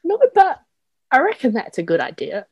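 A young woman, a guest telling stories to a friend in an animated, mock-dramatic way. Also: fairly high pitch, moderate pace, gravelly, slightly rough, no room sound, lo-fi sound. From Taiwan, raised in New Zealand from age seven. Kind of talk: casual chat with a friend.

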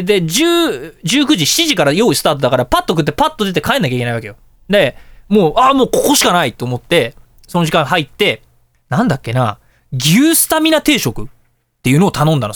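A high-voiced man hosts a casual talk show with a very animated, swinging pitch. He tells everyday stories at a quick clip, throwing in exclamations and vocal sound effects. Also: no echo, studio quality. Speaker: radio show host. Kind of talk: casual anecdotal monologue.

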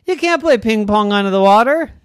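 Storyteller doing a talking kelp, chatty know-it-all, fast and teasing, very lively pitch, dry close sound. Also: no room sound, lightly acted. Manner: fast, bouncy, teasing and repetitive. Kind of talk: improvised bedtime story voice.

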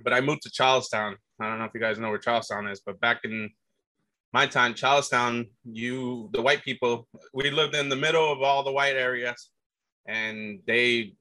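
A man's voice: mid-range voice, fairly even intonation, normal speed, pushed and bright, dry recording, low-quality recording. A man telling stories from his youth in a loose, rambling way, full of fillers and restarts. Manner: rambling, many fillers and restarts, says "dude". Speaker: guest telling his life story. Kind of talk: casual conversation.

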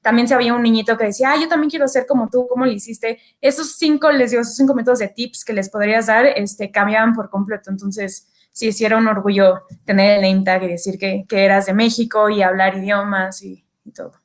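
A woman from Mexico chatting casually, telling anecdotes in a brisk, animated way. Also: medium pitch. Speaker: guest. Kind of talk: casual chat.

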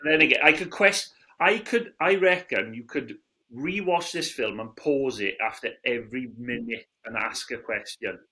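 A man's voice: medium pitch, normal speed, pushed and bright. Blunt, incredulous man in conversation. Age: 40s. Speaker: podcast co-host. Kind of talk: casual film review chat.

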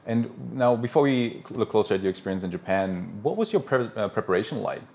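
A man hosting a business interview as a consultant, asking fluent, brisk questions. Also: fairly low pitch, lively intonation, vocal fry, a little mellow.

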